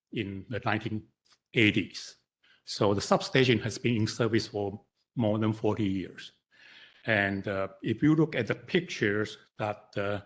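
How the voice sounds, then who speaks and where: Husky Taiwanese-accented older engineer. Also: male voice, on the low side, fairly bright tone. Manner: halting, frequent fillers and repeats. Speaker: consulting engineer. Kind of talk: presentation to council. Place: council chamber.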